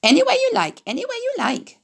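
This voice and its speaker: A woman's voice: extremely high pitch, huge pitch swings, gravelly, pitched above the performer's own voice, full character voice. Woman doing a chattering, repeating goose. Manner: fast talker who repeats her words. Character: chattering excitable female farm goose.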